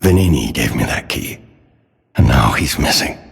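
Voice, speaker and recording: An actor playing a doting, sorrowful elderly inventor father in a video game, speaking solemnly with a husky, breathy voice. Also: very deep voice, expressive, heavily acted. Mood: cautionary, tender. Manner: slow, measured, solemn. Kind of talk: dramatic game character dialogue. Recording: long reverberant hall echo.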